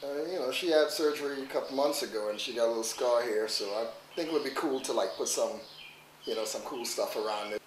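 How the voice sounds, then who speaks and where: A man's voice: mid-range voice, brisk, slight background noise. A middle-aged man from Trinidad with a Trinidadian accent, rambling in a casual interview with lots of "you know.". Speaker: interviewee, father. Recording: echoey room, mic at a distance.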